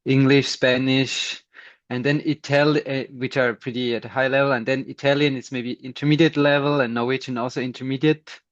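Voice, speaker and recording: A man with a Swiss German accent talks thoughtfully in English, laughing often and restarting his sentences. His voice sits in a middle pitch. The call audio is dull and lo-fi, with a dry sound and no room echo. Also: little pitch movement, a little fast. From Switzerland. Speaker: guest, scientist and runner. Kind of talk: casual interview chat.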